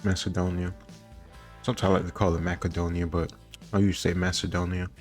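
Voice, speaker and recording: A man's voice: sits low, level tone, quick pace, faint music behind. A man giving casual commentary in a rambling, musing way, often qualifying what he says. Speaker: host reacting to quiz questions. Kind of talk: casual commentary on history trivia.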